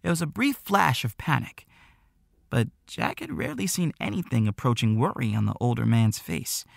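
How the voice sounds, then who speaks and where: A man reads a story as an audiobook narrator, expressive and scripted. His pitch moves in a lively way at a middle height, with a creaky grain to the tone. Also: normal speed, no echo, clean studio sound.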